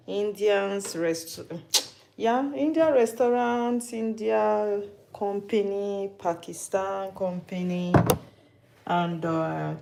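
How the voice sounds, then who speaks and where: A woman's voice: mid-range voice, vocal fry. A Nigerian woman from Nigeria, hosting a live-stream cooking chat, teasing viewers and restarting her sentences. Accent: Nigerian English. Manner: chatty and teasing, with frequent restarts. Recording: echoey room with background noise.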